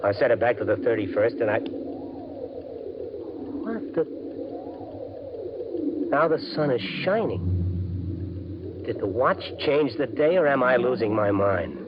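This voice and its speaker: A man's voice: medium pitch, big pitch swings, unhurried, long pauses, background noise. Intense everyman in vintage radio suspense. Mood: bewildered muttering. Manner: intense, muttering to himself, emphatic. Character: desperate gambling-addicted everyman bank worker, excitable and brooding. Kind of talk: 1950s radio suspense acting, first-person narration and dialogue. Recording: dull 1950s radio recording, loud music.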